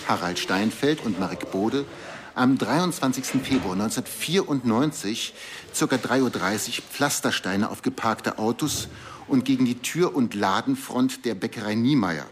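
An actor playing a formal official reading out a police case file in a radio crime drama. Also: male voice, medium pitch, moderate pace, slight background noise, close to an everyday voice. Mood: official, neutral.

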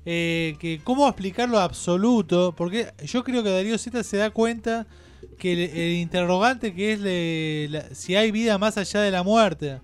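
Higher-pitched, smooth-voiced Rioplatense man interviewing. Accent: Rioplatense Spanish. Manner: halting, circles back and repeats himself. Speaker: radio interviewer. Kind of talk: informal on-air interview.

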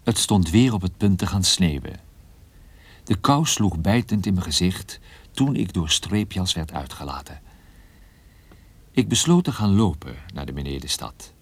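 A man playing a troubled, wry and guarded private detective in a radio play, with a husky voice and a dry, hesitant manner. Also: low-pitched, expressive, a little slow, dry recording, full character voice. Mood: tense narration. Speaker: lead character and narrator. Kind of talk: radio play narration and dialogue.

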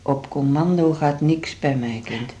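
A woman's voice: sits low, a little slow, dark tone, dull audio. An actress playing an anxious but proud older woman with a heart condition in a radio drama, frank and motherly, with a Netherlands Dutch accent. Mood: wry, resigned.

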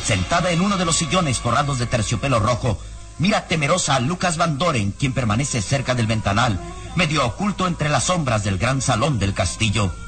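A man's voice: mid-range voice, animated, brisk, natural voice. A man playing the solemn, suspenseful narrator of an old Mexican radio serial, formal and dramatic in delivery. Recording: old radio recording under loud music.

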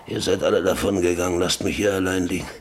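An actor playing a bitter, bedridden middle-aged father in a radio drama, brooding and halting, repeating his phrases. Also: deep voice, fairly even intonation, lightly acted. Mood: gloomy, fearful. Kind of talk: German radio drama acting. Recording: hissy old radio drama recording.

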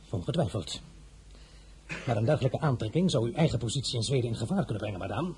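An actor playing an imperious, boastful French emperor in a historical radio drama, pompous and declamatory, by turns mocking and threatening. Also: male voice, medium pitch, mellow, slight background noise, lightly acted, rough recording. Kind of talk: Dutch-language historical radio drama acting.

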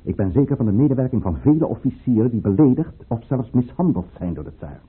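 An actor playing a ruthless, fervent count who leads a conspiracy, with declamatory, commanding delivery in a Dutch radio play. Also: male voice, medium pitch, very animated, quick pace, breathy edge. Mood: resolute. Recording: muffled, narrow-band old radio recording.

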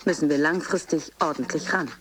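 Low-voiced female fitness coach. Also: fairly quick, a bit bright, slight background noise. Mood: bossy. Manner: instructive, assured, slogan-like. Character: brisk female fitness coach giving stretching instructions. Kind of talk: radio play, class instruction.

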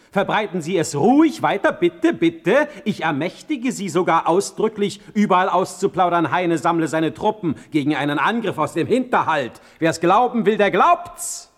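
Proud irritable poet, high voice, swooping intonation, sarcastic, theatrical, radio drama. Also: male voice, very animated, moderate pace, few pauses. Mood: angry sarcasm. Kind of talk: German radio play acting.

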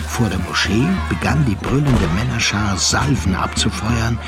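A man's voice: on the low side, moderate pace. A man narrating a radio play as a dramatic literary storyteller. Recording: loud music bed under the voice. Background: loud music.

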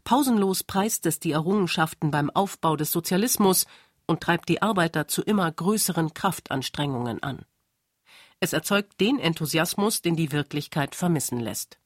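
Documentary narrator, medium pitch, scripted delivery, very dry studio sound. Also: no room sound.

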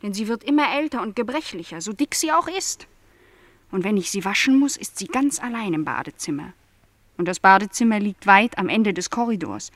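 An actress playing a young housemaid in a radio play, lonely, stubbornly resigned and quietly bitter, speaking in measured, formal sentences. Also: female voice, a little high, dry recording. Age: young adult.

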